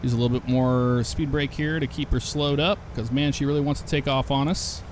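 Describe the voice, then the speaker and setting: A man hosting a flight sim channel, talking casually as he flies and reading back the controller's calls. His voice sits at an ordinary middle pitch. A steady hum of simulated engine noise runs clearly under everything he says. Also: brisk. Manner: relaxed, chatty, reads back radio calls. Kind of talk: casual gameplay commentary.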